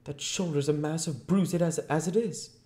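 A man's voice: a little high, moderate pace, darkish tone, voice pushed up, natural voice. A man voicing a fretful, frightened tramp close to tears in an audiobook, pleading in a plaintive way. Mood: tearful protest. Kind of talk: audiobook character voice. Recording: echoey room.

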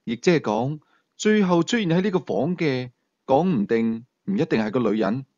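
A man's voice: medium pitch, lively intonation, moderate pace, darkish tone, no echo, dull audio, close to an everyday voice. A man with a Hong Kong Cantonese accent voicing a stern, stubborn police commander in an audiobook, earnest and argumentative. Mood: insistent. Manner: earnest, insistent.

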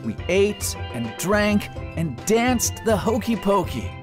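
Theatrical, very expressive male storybook narrator. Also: sits high. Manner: theatrical, deliberate emphasis. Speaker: professional voice actor reading aloud. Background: soft music.

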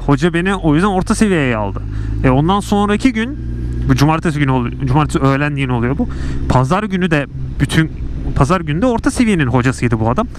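A man's voice: on the high side, lively intonation, fast. Chatty man vlogging from a motorcycle. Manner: rambling, chatty, self-mocking. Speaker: motovlogger. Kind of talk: casual riding vlog chat. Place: riding a motorcycle on city streets. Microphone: helmet mic on a moving motorcycle. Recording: helmet mic with road and wind noise. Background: traffic and engine noise.

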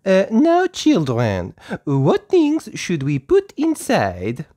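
A woman voicing a kindly female gazelle schoolteacher in a storybook, with patient, drawn-out teacherly phrasing. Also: on the low side, wildly swooping pitch, dark tone, pitched above the performer's own voice, heavily acted. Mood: patient, instructive.

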